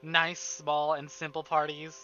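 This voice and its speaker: A man with a high, very bright voice gives live game commentary. His reactions are excitable and gushing. The stream audio is slightly dull, with game music behind him. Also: a little slow.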